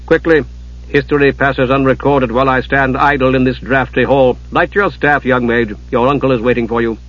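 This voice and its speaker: A man's voice: medium pitch, level tone, normal speed, a bit bright, muffled recording, lightly acted. A man voicing an ageless master historian in an audiobook, stern, formal and impatient as he gives orders. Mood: commanding, impatient. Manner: imperious, formal, giving orders. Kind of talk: dramatised audiobook character.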